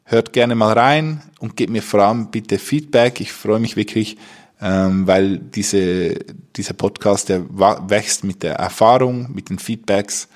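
A man hosting a podcast, speaking casually and off the cuff, haltingly searching for words. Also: on the low side. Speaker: podcast host and interviewer. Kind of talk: casual unscripted podcast talk.

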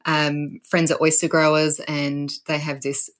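An Australian woman from South Australia hosting an interview, chatty and quick to laugh, correcting herself as she goes. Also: deep voice, little pitch movement. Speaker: podcast host; educator.

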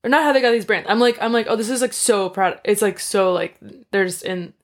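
Young woman cohost, teasing + quippy, laughs a lot, medium voice. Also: medium pitch. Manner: teasing, quick quips, laughs often. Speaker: podcast co-host. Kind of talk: casual recap chat.

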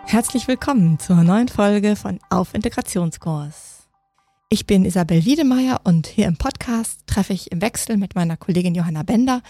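Woman, podcast host, clear and friendly, dry close studio sound. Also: medium pitch, mellow, no room sound. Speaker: podcast host and integration course teacher. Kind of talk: podcast interview.

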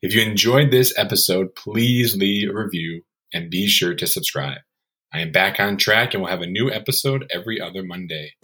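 A man hosting an educational podcast, speaking in a friendly, measured, scripted way. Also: sits low, bright, forceful tone. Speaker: podcast host.

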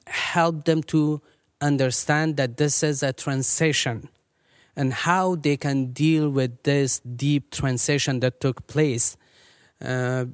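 A man from Afghanistan who leads a ministry, speaking earnestly and measuredly as an interview guest with many pauses and fillers. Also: fairly high pitch, dry recording, clean studio sound. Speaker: ministry leader.

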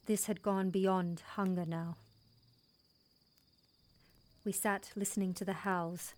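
A woman's voice: mid-range voice, fairly even intonation, slow, lightly acted. A woman playing a resolute young settler mother, telling her story in intense, dramatic first-person narration. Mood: tense, haunted. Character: resolute young settler mother recounting a wild dog attack.

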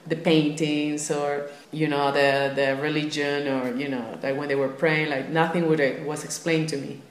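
A woman's voice: low-pitched, level tone, normal speed. Young woman in an echoey room. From Lima, Peru. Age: young adult. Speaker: podcast guest, traveler. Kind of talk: casual personal storytelling. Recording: roomy echo with faint ambient noise.

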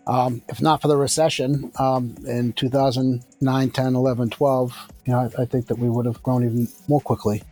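Sixties male founder, mid-pitched, reflective and halting, with faint music underneath. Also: level tone, dark tone. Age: 60s. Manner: measured, thoughtful, many fillers and restarts. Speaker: construction company founder. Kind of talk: conversational interview answers.

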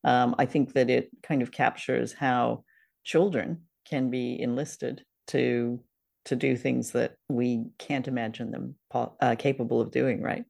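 A woman's voice: a bass voice, steady tone, unhurried, vocal fry, a little mellow. A woman podcast host casually discussing old radio drama.

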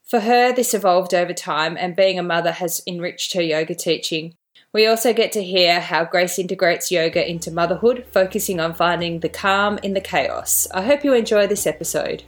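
An Australian woman hosting a podcast, reading a measured scripted introduction and asking interview questions. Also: lowish voice. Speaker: podcast host.

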